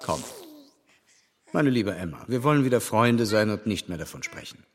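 A man's voice: on the low side, big pitch swings, moderate pace, in a large hall, slight background noise. An actor playing a frank family friend in his thirties who reproves directly but kindly, in a radio drama. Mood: stern.

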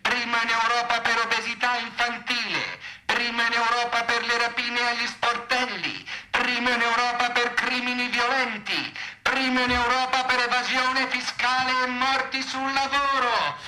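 An actor playing a bombastic, mock-patriotic orator, declaiming emphatic lists in a satirical stage speech. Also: male voice, very high-pitched, fairly even intonation, moderate pace, bright, forceful tone, heavily acted, low-quality recording. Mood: proud, declamatory. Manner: emphatic, list-like repetition.

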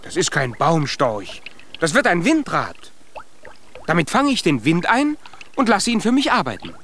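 High-voiced, boastful little inventor dwarf. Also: male voice, huge pitch swings, unhurried, a bit bright, a little hiss or hum, heavily acted. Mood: proud, excited. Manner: emphatic, boastful. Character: proud, cheerful young inventor dwarf, eager and boastful. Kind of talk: children's radio play acting with some singing.

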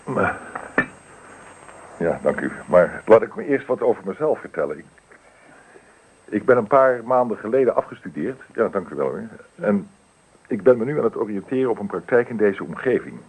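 A courteous young practitioner in a radio drama. His voice is husky and on the low side, moving freely in pitch. He asks his questions politely, with small hesitations. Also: animated, moderate pace, low-quality recording. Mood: polite, inquiring. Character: polite, inquisitive young male practitioner, freshly graduated. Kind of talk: Dutch radio play acting.

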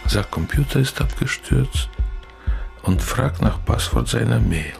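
An actor playing an older thug, gravelly, speaking broken German in curt commands. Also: male voice, mid-range voice, expressive, a little slow, music in the background. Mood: menacing. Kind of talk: radio play acting.

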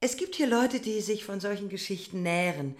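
An actress in a radio drama playing a proud, aloof youngest sister, speaking with cool irony. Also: female voice, mid-range voice, lively intonation, moderate pace, close to an everyday voice. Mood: mocking. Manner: ironic yet serious, cool.

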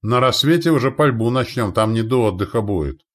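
A man voicing a gruff, impatient gun crew commander who barks orders and grumbles in an audiobook. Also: mid-range voice, expressive, a little fast, voice pushed up, close to an everyday voice.

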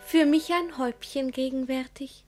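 A woman's voice: high-pitched, fairly even intonation, normal speed, darkish tone, faint music behind, lightly acted. An actress playing a naive, demure young woman in a classic radio comedy, speaking verse simply and artlessly. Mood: innocent. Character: naive, demure young ward, innocent and obedient.

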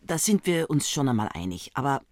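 A woman as a patient supervisor in a radio play, coaxing with gentle irony. The voice is close and dry, with lively intonation. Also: extremely low pitch, brisk, no room sound. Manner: reasoning, gently ironic.

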